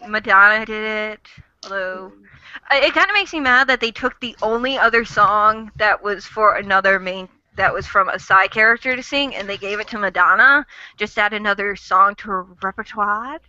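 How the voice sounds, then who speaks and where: A woman's voice: mid-range voice, moderate pace, bright, forceful tone, dry recording. A woman hosting a casual fan chat, rambling with lots of fillers. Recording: lo-fi audio.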